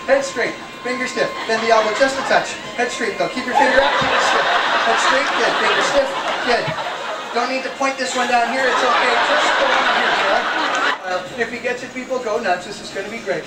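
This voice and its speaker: A man performing a comedy juggling act on stage, teasing and coaching an audience volunteer with energetic showmanship. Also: very high-pitched, a little fast, far from the mic. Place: ship theatre stage before a live audience. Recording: echoey live show taped from afar. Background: loud music and a crowd laughing.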